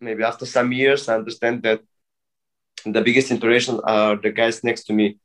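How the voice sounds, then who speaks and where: Man, thirties, halting English, casual guest talk. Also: mid-range voice, little pitch movement, fairly bright tone. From Bulgaria. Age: 30s. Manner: halting non-native English with many restarts. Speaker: guest breakdancer. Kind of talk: casual interview.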